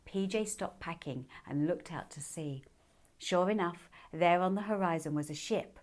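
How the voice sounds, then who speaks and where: A woman reading her own children's book as an expressive storybook narrator. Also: fairly low pitch, normal speed.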